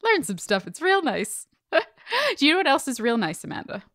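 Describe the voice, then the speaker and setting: A woman's voice: high voice, huge pitch swings, normal speed, a bit bright, no echo, clean studio sound. A woman co-hosting a podcast, chatting casually and reading sponsor spots in an upbeat, playful, conversational way. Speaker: podcast co-host. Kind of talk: casual chatty ad read and banter.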